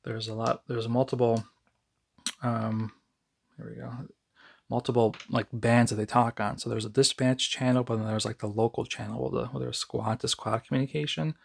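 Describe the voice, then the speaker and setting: Gravelly, husky American man reviewing casually. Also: mid-range voice, little pitch movement, moderate pace. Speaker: amateur product reviewer. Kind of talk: casual unscripted product review.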